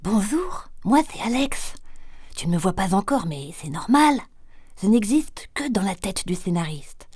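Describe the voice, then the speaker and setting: A woman's voice: medium pitch, big pitch swings, measured pace, a little mellow, slightly rough, dry recording, studio quality. A woman voicing a cheerful, playful cartoon character who chats directly to the viewer. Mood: friendly. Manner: chatty, addresses the viewer directly. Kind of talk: scripted voice-over.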